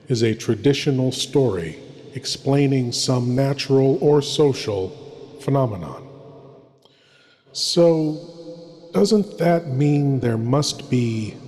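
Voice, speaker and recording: A man hosting a horror show in a theatrical, ominous manner, with deliberate dramatic pauses. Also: mid-range voice, darkish tone. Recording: long hall-like reverb. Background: music.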